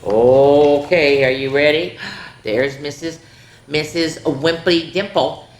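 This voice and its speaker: Low-voiced woman reading stories to children. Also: slow pace. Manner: exclamatory, dramatic, addresses listening children directly. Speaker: children's story time host. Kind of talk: animated read-aloud storytelling with chatty asides to children. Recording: roomy echo, faint background noise.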